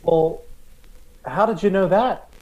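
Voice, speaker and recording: Polite, puzzled gentleman, male actor, unhurried and soft-toned, radio comedy. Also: high-pitched, muffled recording. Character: mild, polite, puzzled man teacher. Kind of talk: old-time radio comedy acting.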